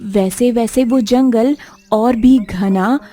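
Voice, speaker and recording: A woman's voice: fairly high pitch, slow, dark tone, soft background music. A woman narrating a scripted story as the storyteller of a cartoon tale.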